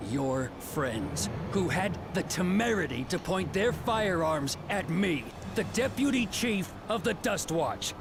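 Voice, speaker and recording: An actor voicing a smug, condescending deputy chief of frontier lawmen in a video game, with an American accent and a pompous, mocking manner. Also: male voice, sits high, very animated, slow, few pauses, noisy background, exaggerated voice. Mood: self-righteous. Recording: music behind the voice.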